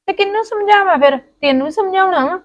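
A woman with a Punjabi accent playing a patient sister-in-law who gently lectures and coaxes. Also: very high-pitched, a little fast. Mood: coaxing. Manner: coaxing, scolding tone. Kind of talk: comic family skit acting.

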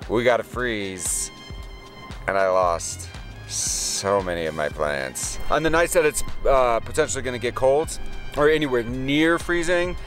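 A man's voice: medium pitch, fairly bright tone. An American man in his thirties chatting casually to camera in an easygoing, rambling way as a gardening vlogger. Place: outdoors in a garden.

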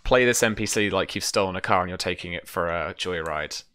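Low, bright-voiced British man chatting. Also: fairly quick, clean tone, dry recording, clean studio sound. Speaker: game master and streamer. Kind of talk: casual livestream chat explaining a tabletop game. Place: small room at home, on a video call.

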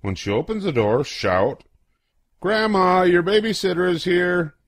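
An older man reading a children's picture book aloud in a playful, expressive way, acting out exclamations and questions. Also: high voice, measured pace, dry recording.

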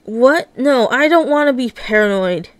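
A woman's voice: fairly high pitch, normal speed, smooth, no room sound. A woman narrating a dramatised comic read-along, acting out every character and sound effect herself. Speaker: narrator reading a comic aloud.

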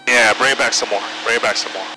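A man's voice: medium pitch, steady tone, fast talker. A man working as a flight instructor, giving encouraging, directive hands-on instruction through an aviation headset in a small plane cockpit. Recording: loud engine noise over headset intercom.

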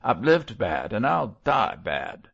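A gruff condemned criminal from a storybook, acted by a male narrator, with a rough rustic working-class English accent. He growls in a husky, gravelly voice, coarse but full of feeling for his child. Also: fairly low pitch, sing-song, slow pace, a bit bright, highs cut off, heavily acted. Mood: earnest, resigned.

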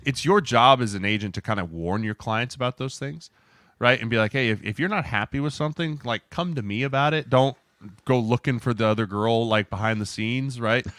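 A man's voice: medium pitch, a little fast, fairly bright tone, dry recording. Man explaining casually, dry close mic. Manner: colloquial, illustrates points with imagined quotes. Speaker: former NFL lineman, podcast co-host. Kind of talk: casual explanatory podcast chat. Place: indoor room, remote video podcast. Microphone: close podcast microphone with headset.

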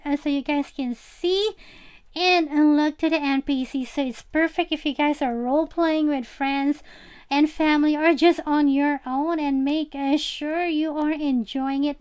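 High-voiced woman, gaming channel host, chatty, casual voice-over, talking to viewers. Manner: chatty, addresses viewers directly. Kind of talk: casual game tour voice-over.

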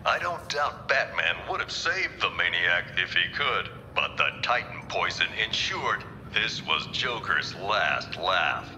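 An actor playing a grave journalist who narrates a museum tour in a solemn, portentous, dramatic style. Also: male voice, low-pitched, big pitch swings, a little slow, sharp tone, slight background noise, exaggerated voice. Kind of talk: dramatic scripted exhibit narration. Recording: reverberant exhibit speakers with music. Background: music.

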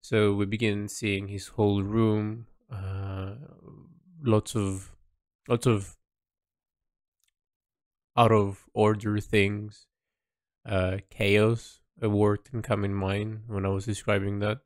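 A man thinking aloud in an audio log about the story he is writing and illustrating, halting and restarting often. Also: lowish voice, flat delivery, no room sound, studio quality. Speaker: creator discussing his illustrated story project. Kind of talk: casual spoken audio log.

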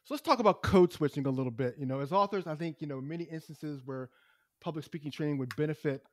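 Fast-talking animated male podcast host. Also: a little high, no echo, studio quality. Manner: animated, quick, acts out little scenes. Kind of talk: conversational podcast interview.

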